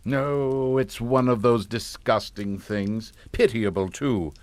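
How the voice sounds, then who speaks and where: An actor playing a politely menacing upper-class English father in a radio suspense drama, speaking in a clipped, formal, deliberate way. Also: medium pitch, darkish tone, dry recording, natural voice. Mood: calm menace. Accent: British.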